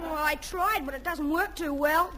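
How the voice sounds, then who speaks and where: A woman's voice: high-pitched, normal speed, lightly acted. A woman playing a cheeky, scheming Australian schoolboy in an old-time radio comedy. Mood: evasive. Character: cheeky scheming schoolboy son, eager and cocky. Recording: noisy old radio recording.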